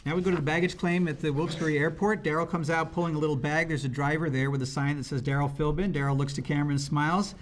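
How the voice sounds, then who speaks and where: In a noisy live room with clicks, a man reads out a script's stage directions. His voice sits a little high as he narrates scenes at a table read. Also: steady tone, fast, hardly any pauses.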